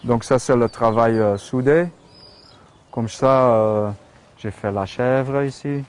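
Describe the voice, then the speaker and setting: Flemish-accented man, slow and hesitant. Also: medium pitch, clear, resonant tone. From Belgium. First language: Dutch. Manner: hesitant, many fillers and restarts. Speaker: metal sculptor. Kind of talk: casual studio tour.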